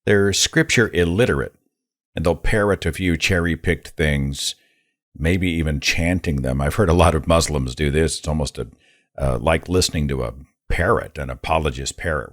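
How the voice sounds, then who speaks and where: A man's voice: a bass voice, moderate pace, no room sound, clean studio sound. A man hosting an interview, asking questions in a conversational, opinionated way. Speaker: podcast host.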